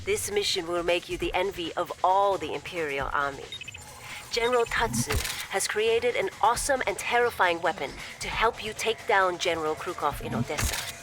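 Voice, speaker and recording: An actress playing a poised, devoted military logistics officer in a video game cutscene, speaking in a formal, measured and deferential way. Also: female voice, lowish voice, natural voice. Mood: urgent, zealous. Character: poised, devoted female imperial logistics officer briefing commanders. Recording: loud music behind the voice.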